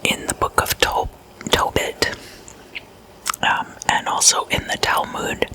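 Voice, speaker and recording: Slow, crisp, whispering ASMR host. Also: pushed and bright. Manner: intimate, addressing the listener as a guest. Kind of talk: whispered ASMR, casual chatty slumber-party role-play.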